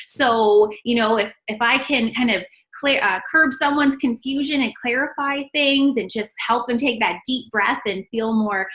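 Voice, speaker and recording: A woman speaks as a guest over an online call, with a clear, bright tone that sits a little high. She chats in a relaxed way and laughs easily between points. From Canada. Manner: cheerful, laughs often. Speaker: registered dietitian guest. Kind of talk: casual interview over a call.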